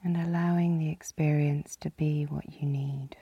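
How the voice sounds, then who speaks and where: A woman leading a guided yoga nidra relaxation as the guide, calm and soothing, with a soft, breathy, husky voice. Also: low-pitched, moderate pace, no room sound, highs cut off. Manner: calm, soothing, gently instructing.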